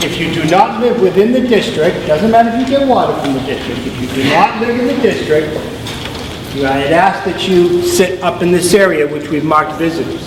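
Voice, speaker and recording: Guy running a town meeting, kinda high voice, super echoey auditorium, far from the mic. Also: animated, normal speed, noisy background. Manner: firm, plainspoken, giving instructions to a crowd. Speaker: meeting moderator. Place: large auditorium.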